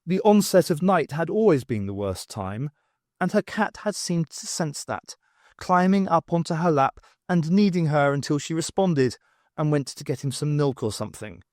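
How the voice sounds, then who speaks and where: A male audiobook narrator with a slightly high voice. His pitch moves about a great deal as he tells the story, and the recording is dry. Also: expressive, normal speed, darkish tone, no echo. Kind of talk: scripted narration of a fan audiobook, acting out dialogue.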